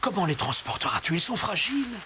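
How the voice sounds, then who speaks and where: High-pitched, solemn snake parent. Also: male voice, big pitch swings, quick pace, very noisy. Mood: concerned. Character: anxious red-headed snake parent guarding its eggs. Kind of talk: French animated series dubbing.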